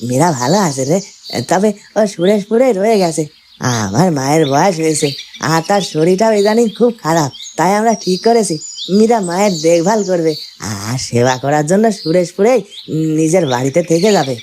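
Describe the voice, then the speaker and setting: A woman plays a humble, devoted village fellow in a fairytale cartoon. The voice sits low for a woman and is very mellow, with a halting, deferential stammer. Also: animated, a little hiss or hum, lightly acted. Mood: warm, happy. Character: humble, devoted young village man, grateful visitor. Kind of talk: Bengali fairytale cartoon dubbing.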